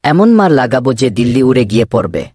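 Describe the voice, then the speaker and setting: A man's voice: mid-range voice, very animated, fast talker, darkish tone, slightly rough, no echo, clean studio sound. A man playing a blustering, tough-talking village goat watchman in a comedy cartoon. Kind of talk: cartoon voice acting.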